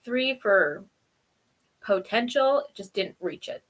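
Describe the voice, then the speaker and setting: A woman's voice: mid-range voice, animated, slow pace, a bit bright. A woman co-hosting a casual review, chatting informally with a friend in a giggly, rambling way. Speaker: co-host of a TV episode review.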